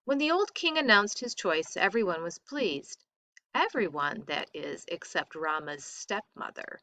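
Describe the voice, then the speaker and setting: A woman reading a story aloud expressively, with deliberate, dramatic emphasis. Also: fairly low pitch, sing-song, sharp tone, no echo.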